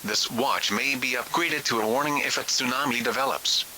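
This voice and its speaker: A man's voice: medium pitch, moderate pace, gravelly, noisy background. A man reading a scripted emergency alert as a broadcast announcer.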